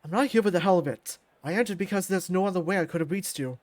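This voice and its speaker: A man voicing a confident old fighter who recruits with measured, self-assured speech in a visual novel. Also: sits high, a little mellow, no room sound, natural voice.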